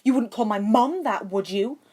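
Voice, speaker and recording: A woman voicing a brave, stubborn schoolboy wizard in a fantasy audiobook, with a forceful, urgent delivery. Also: a little high, moderate pace, voice pushed up, lightly acted. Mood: urgent, angry.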